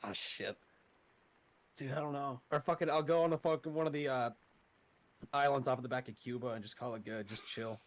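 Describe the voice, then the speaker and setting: A man's voice: medium pitch, steady tone, brisk, long pauses. Young adult male guest, horror narrator, laid-back and profane, casual chat. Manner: laid-back, swears often, laughs a lot.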